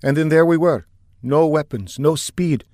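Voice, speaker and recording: A man's voice: on the high side, measured pace, darkish tone, no echo, clean studio sound, voice pushed up, close to an everyday voice. A man voicing a shaken, brooding male fighter pilot who speaks grimly and reflectively. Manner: grim and reflective.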